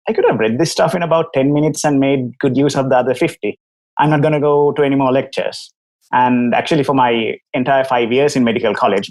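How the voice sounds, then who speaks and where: A man's voice: medium pitch, poor audio. Sri Lankan man telling his story. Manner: candid, wry anecdotes. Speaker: guest, app company founder. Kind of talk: relaxed podcast storytelling.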